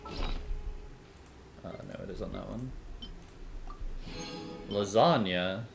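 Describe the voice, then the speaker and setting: A woman streamer with an extremely low, gravelly voice, tossing off casual remarks as she plays. Game music runs loud under her talk. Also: extremely slow. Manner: casual, chatty asides.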